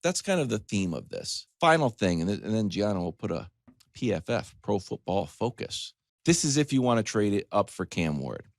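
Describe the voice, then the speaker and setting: A man with a fairly low, very animated voice delivers a comedic sports monologue as a talk show host. He is conversational and emphatic, slipping into asides and imagined questions. The sound is close and dry, as on a broadcast studio microphone. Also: moderate pace, vocal fry, no echo.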